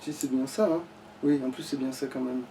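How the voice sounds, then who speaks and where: A man's voice: mid-range voice, steady tone, fairly quick, mellow. A man doing casual, rambling gameplay commentary, swearing and sounding exasperated. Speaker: let's play gamer. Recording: distant mic with light background noise.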